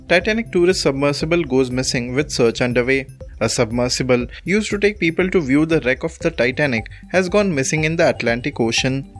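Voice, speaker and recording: Indian-accented man reading news over music. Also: medium pitch. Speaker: news presenter. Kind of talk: scripted news bulletin reading.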